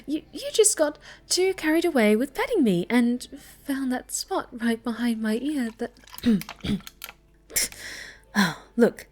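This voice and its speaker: Casting: woman to play snobby, bratty cat girl for intimate ASMR roleplay; unhurried, soft and breathy, coy, stammers when flustered. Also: fairly high pitch, expressive. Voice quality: soft, often breathy and whispered. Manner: halting, stammering, coy, haughty.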